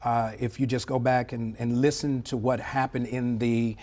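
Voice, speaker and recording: A middle-aged man with a mid-range voice gives expert commentary in a TV interview. He is articulate and measured, leaning on key words for emphasis and checking that the listener follows. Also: mid-range voice, flat delivery, dark tone. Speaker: former air traffic controller, interview guest. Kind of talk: live TV news interview, explanatory commentary.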